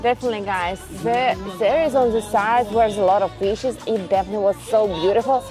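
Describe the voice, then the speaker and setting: A woman's voice: medium pitch, quick pace, over music, poor audio. A woman from Russia co-hosting a casual travel vlog on a beach, friendly and easygoing. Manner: friendly, brief agreeing replies. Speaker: vlogger. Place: outdoors on a beach.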